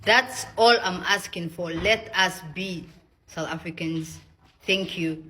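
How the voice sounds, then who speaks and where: Slow-talking woman making an earnest appeal, noisy recording. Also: lowish voice, sharp tone. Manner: pleading, emphatic, deliberate. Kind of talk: earnest direct-to-camera appeal. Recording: noisy, with background ambience.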